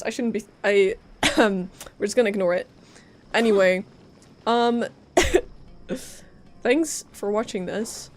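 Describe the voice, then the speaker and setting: A woman streaming a video game live, commenting casually in a chatty, rambling, giggly way. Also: mid-range voice. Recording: faint game music behind voice. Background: faint game music.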